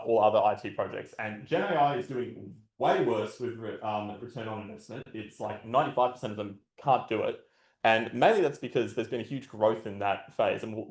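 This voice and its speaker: A man with a loud voice gives a casual conference talk at a podium microphone, blunt and full of filler sounds and the odd swear. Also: lowish voice. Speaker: conference speaker. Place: large conference hall. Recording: roomy hall echo.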